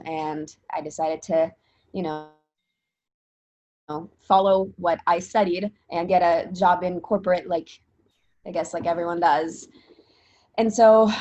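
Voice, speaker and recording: A young woman, chatting in an interview. Her voice sits a little low, and she rambles loosely, full of fillers and self-corrections. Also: darkish tone. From Italy. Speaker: interviewee, spin instructor. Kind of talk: casual interview talk.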